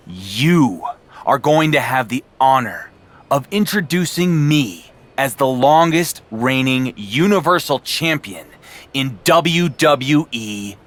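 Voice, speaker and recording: A man's voice: medium pitch, fairly bright tone. A man playing a cocky, cool-headed wrestling champion in a game cutscene, with a dry, cutting manner.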